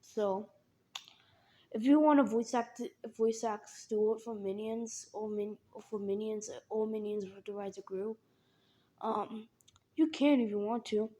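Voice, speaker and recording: A young woman who speaks haltingly, often repeating and restarting her words, as she talks casually to her viewers. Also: medium pitch, steady tone, mellow. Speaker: animation creator. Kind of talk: casual vlog appeal.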